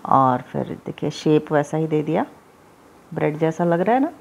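Woman doing a home cooking video, lowish voice, keeps saying okay, easygoing chat. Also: lively intonation, moderate pace, gravelly, darkish tone, highs cut off, slight background noise. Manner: chatty, repeats "theek hai" often. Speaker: cooking channel host. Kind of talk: casual recipe demonstration. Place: home kitchen, voice-over.

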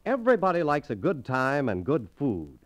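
A man's voice: medium pitch, wildly swooping pitch, moderate pace, faint music behind. An American man reading scripted 1930s radio advertising as an announcer. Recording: restored 1930s radio transcription disc.

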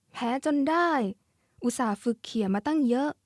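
High-voiced young cartoon schoolgirl, played by a woman. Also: animated, a little slow, no echo, clean studio sound. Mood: disappointed. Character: lively, caring schoolgirl who loves a card-flicking game. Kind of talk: character voice pack lines.

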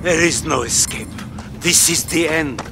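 A man playing a solemn, menacing terrorist leader in a video game, speaking in a deliberate, ominous way. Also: a little high, roomy sound, over music, close to an everyday voice. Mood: calm menace. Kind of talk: scripted video game acting.

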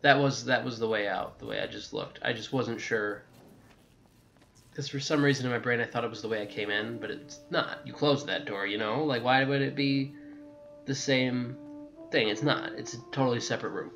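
A man talks through a video game as a casual walkthrough host. His pitch is middling and he rambles, backtracking and tossing in jokey asides. Some music plays behind him. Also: normal speed, fairly bright tone, dull audio. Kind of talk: casual gameplay walkthrough commentary.

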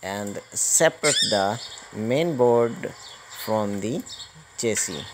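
A man hosting a hands-on electronics repair tutorial, explaining step by step in a halting manner. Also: on the low side, animated. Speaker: host of electronics repair channel. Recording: clearly noisy recording with clicking.

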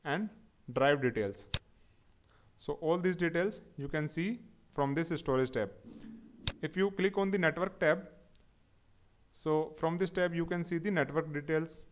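A man with a somewhat high voice narrates a screen-recorded tech tutorial. He works methodically, step by step, spelling out every command. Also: slight background noise. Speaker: instructor.

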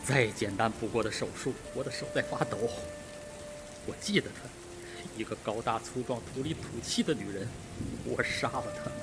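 A man's voice: medium pitch, moderate pace, close to an everyday voice. A man playing an overwrought, guilt-ridden doctor in a Mandarin radio mystery, fretful in manner. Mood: haunted, confessional. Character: panicky, guilt-ridden male doctor, a reformed drunkard.

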